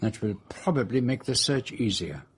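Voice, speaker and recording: An actor playing a famous foreign detective in a radio drama, with a French accent, precise, courteous, self-assured and dryly witty. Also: male voice, medium pitch, big pitch swings, normal speed, slightly rough, no echo. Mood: composed, courteous. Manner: precise, courteous, sprinkles speech with French phrases. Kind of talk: radio drama dialogue and narration.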